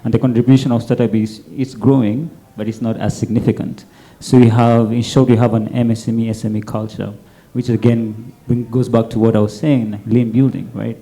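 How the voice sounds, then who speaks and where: Gravelly, dark-voiced male panelist. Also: medium pitch, flat delivery. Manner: halting, many fillers and repeated words. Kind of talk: panel discussion.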